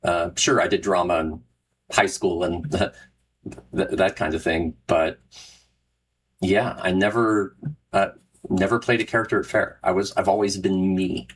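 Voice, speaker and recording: A man's voice: low voice, steady tone, measured pace, long pauses, husky, low-quality recording. A middle-aged man hosting a casual talk show, who rambles, restarts often and laughs at himself. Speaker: podcast host and Renaissance fair performer. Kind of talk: casual solo chat with live listeners.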